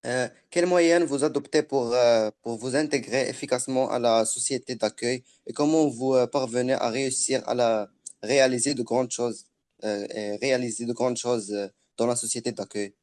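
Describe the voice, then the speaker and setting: A man asks interview questions for a school project. He stumbles through them with fillers and repeated words. His voice sits at a middle pitch. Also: fairly even intonation, normal speed, darkish tone. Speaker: student interviewer.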